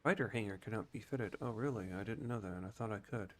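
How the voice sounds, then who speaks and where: Low-pitched man casually narrating gameplay. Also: fast, fairly bright tone. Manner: thinks aloud, explaining game mechanics informally. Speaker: game streamer.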